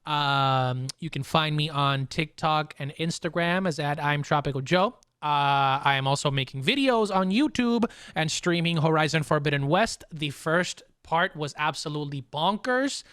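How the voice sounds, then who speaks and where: A man's voice: on the high side, normal speed, few pauses, fairly bright tone, smooth, no echo, clean studio sound. A man hosting a podcast in a casual, chatty style. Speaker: podcast host.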